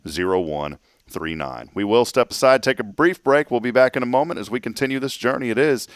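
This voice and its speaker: A man's voice: medium pitch, lively intonation, fairly quick, clear, resonant tone. A man hosting a morning radio show in casual, jokey, upbeat banter.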